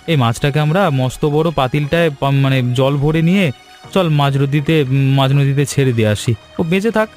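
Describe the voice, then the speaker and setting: A man playing a poor, honest, kind young villager in an animated children's story, gentle and conciliatory in manner. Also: medium pitch, moderate pace, soft background music, lightly acted. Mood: gentle pleading.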